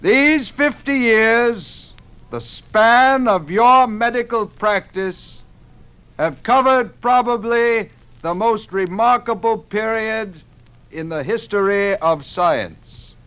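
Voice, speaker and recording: Male oratorical leader, 1950s radio drama, forceful tone, measured and declamatory. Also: high voice, smooth. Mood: solemn tribute. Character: commanding public leaders giving formal, oratorical addresses. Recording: vintage 1950s radio recording.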